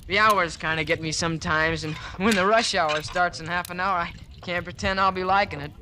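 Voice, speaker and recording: Man acting a high-voiced, slangy short-order cook. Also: fairly even intonation, a little fast, lightly acted. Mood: easygoing. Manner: casual, slangy, clipped. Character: easygoing working-class short-order cook, deferential employee. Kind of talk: 1950s radio drama acting. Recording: noisy, hissy old radio recording.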